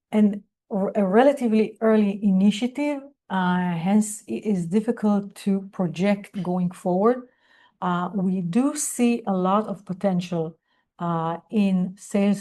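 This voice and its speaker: A woman company executive presenting on an earnings call in a formal, measured business manner. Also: mid-range voice, dark tone.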